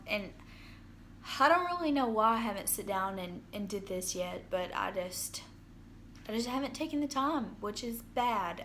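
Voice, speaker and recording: A young woman with a hoarse voice from being sick, talking casually into her phone in a rambling personal update with many ums and restarts. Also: on the high side, fairly bright tone, a little hiss or hum. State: hoarse from illness. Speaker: vlogger. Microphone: phone propped up.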